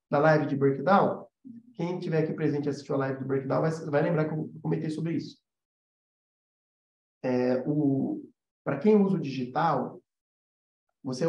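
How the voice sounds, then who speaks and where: A man's voice: high-pitched, mellow. A Brazilian man giving an informal live lesson as a teacher, conversational and emphatic, repeating key points and checking that listeners follow. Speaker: animation teacher hosting a live class. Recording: roomy echo, slightly dull sound.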